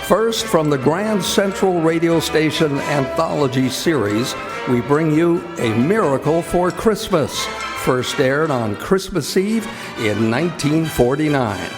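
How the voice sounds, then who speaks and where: A man's voice: fairly high pitch, lively intonation, a little slow. An American man reading scripted announcements and sponsor messages in the style of an old-time radio announcer, live in a theater. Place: theater stage in a college. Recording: live theater hall, long reverb, music.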